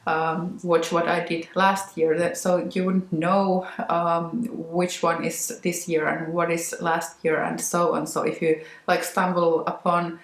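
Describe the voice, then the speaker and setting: A Finnish woman with a Finnish accent chats unhurriedly to her viewers about her knitting work. Her voice sits a little low and she often pauses with ums and restarts. The sound carries an echoey room around her. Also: flat delivery. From Finland. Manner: hesitant, many ums and restarts. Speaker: knitwear designer and podcast host. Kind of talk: casual chat to camera.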